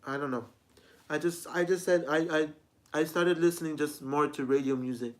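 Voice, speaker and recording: A man's voice: fairly high pitch, fairly even intonation, normal speed. A man in his twenties giving a rambling, repetitive personal vlog talk, often restarting his sentences as a music reviewer. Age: 20s.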